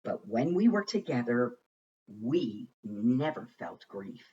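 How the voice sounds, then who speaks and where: A man reading a rhyming children's storybook aloud with a rhythmic, expressive and deliberate delivery. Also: mid-range voice, lo-fi sound, a little hiss or hum. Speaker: reader of a children's picture book.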